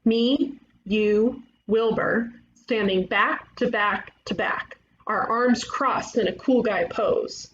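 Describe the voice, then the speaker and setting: A woman playing a brash, excitable young twin-sister ghost detective in an animated comedy table read, with an emphatic, theatrical delivery. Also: on the high side, takes it slowly, hardly any pauses, echoey room, muffled recording, a little hiss or hum. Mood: grandiose pitching.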